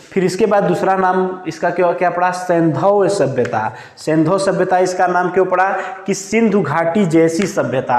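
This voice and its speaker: Echoey male teacher dictating notes. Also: a little high. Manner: asks and answers his own questions, slows down to dictate words while writing. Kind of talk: classroom lecture with note dictation. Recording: echoey room with long reverb.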